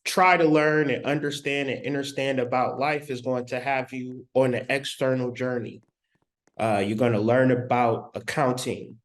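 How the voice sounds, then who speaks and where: A man giving a spiritual lecture, speaking deliberately with long pauses and repeating key phrases for emphasis. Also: mid-range voice. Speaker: spiritual teacher.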